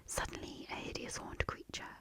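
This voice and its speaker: A woman reading a storybook aloud in a soft, hushed storytelling manner. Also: moderate pace, whispered. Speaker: narrator.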